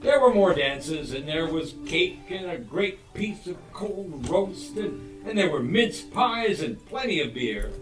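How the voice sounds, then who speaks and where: A man narrating a Christmas tale on stage as a theatrical storyteller. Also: fairly high pitch. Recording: roomy live stage, distant mic, music behind. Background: music.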